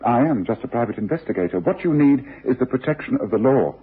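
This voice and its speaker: A brisk british detective in an old radio play, raspy voice, tinny muffled sound. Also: male voice, medium pitch, expressive. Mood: grave, firm. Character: brisk, exacting male private detective. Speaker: lead actor in a radio drama. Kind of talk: British radio drama acting.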